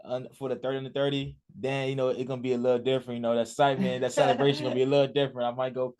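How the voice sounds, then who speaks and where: A man's voice: mid-range voice, little pitch movement, racing, darkish tone, clean tone. A young man in his early twenties from Richmond, Virginia, a college athlete answering a radio interviewer in a casual, rambling way with many fillers and restarts. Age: 20s.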